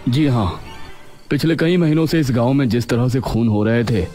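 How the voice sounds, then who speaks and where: A man's voice: medium pitch, normal speed, mellow, faint music behind, close to an everyday voice. A man playing a resolute, commanding undercover police inspector in a story. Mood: firm.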